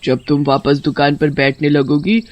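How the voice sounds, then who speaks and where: Man voicing a greedy female witch, raised pitch. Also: fairly even intonation, moderate pace, a little mellow, lightly acted. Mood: helpful. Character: tea-loving female witch living in a tree, greedy but helpful. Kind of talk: Hindi animated horror-comedy story dialogue.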